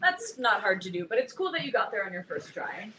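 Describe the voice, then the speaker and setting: A woman's voice: mid-range voice, big pitch swings, fairly quick, bright, forceful tone, a little hiss or hum, low-quality recording. A young woman in a staged reading, playing a blunt, defensive teenage girl with a dry, sarcastic delivery. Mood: sardonic.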